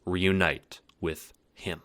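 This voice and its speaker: A man reading second-person narration in an audio drama in an even, understated way. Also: low voice, slow pace, noisy background. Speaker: narrator and producer.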